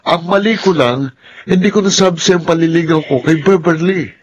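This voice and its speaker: An actor as an earnest young Filipino suitor in a radio drama. His fairly high voice is defensive and indignant, with a Filipino accent over faint noise. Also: fairly quick, natural voice.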